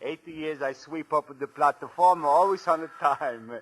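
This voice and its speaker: A man's voice: fairly high pitch, a little slow, low-quality recording. A male actor playing a chatty, simple old railway sweeper who speaks broken English with a foreign accent in a 1940s radio drama. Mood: friendly, chatty. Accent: foreign, non-native English.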